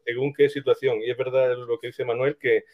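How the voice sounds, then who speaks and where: A man's voice: mid-range voice, little pitch movement, a little fast, phone-quality sound. A man with an accent from Spain explains things in a casual, hesitant interview style, as a screenwriter being interviewed. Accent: Spain Spanish.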